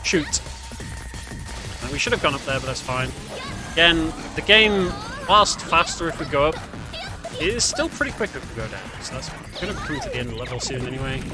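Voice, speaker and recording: A man's voice: fairly high pitch, sing-song, a little fast. A man giving casual, slightly hesitant gameplay commentary as a speedrunner, often correcting himself as he goes. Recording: game music behind voice. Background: game music and sounds.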